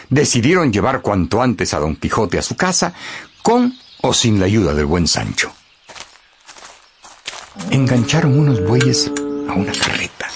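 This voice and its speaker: Highly expressive male storyteller. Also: gravelly. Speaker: narrator. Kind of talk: expressive scripted narration of a literary radio play.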